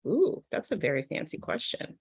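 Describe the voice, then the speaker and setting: Woman, low register, conversational panel speaker who thinks out loud with lively asides. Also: moderate pace, husky. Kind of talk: conversational literary panel talk.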